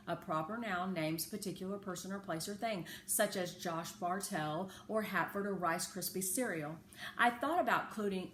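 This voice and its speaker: A woman reading a children's story aloud in an expressive, careful, teacherly way with chatty asides. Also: mid-range voice. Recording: echoey room with faint background noise.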